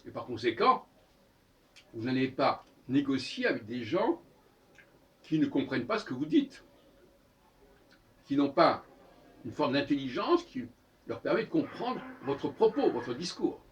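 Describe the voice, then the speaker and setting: A man gives an improvised, opinionated lecture, halting and correcting himself, repeating phrases for emphasis. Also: medium pitch, sing-song, fairly bright tone, dull audio. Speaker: speaker giving a philosophical talk. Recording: distant microphone, noisy room ambience.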